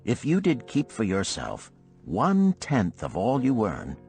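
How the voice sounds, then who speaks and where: A man's voice: lowish voice, wildly swooping pitch, a little slow, creaky, darkish tone, faint music behind, pitched above the performer's own voice, heavily acted. A man voicing a stern, blunt old moneylender mentor who lectures and scolds emphatically in a storybook parable. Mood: scolding. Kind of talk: audiobook parable character dialogue.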